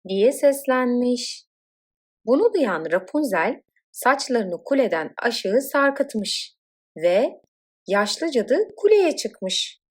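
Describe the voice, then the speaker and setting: A woman narrating a scripted children's fairy tale in an expressive, dramatic storytelling manner. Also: a little high, slow pace.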